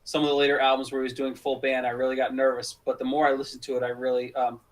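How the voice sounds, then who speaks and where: A man's voice: medium pitch, monotone, very fast. A man from the United States chatting casually as a podcast guest, blunt and sweary. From United States, born in Connecticut. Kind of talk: casual chat. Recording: slightly distant from mic.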